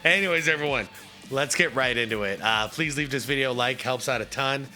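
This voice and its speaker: A man with a hoarse voice from a cold, chatting casually with many fillers as he hosts a reaction video. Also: mid-range voice, fairly quick, sharp tone, faint music behind.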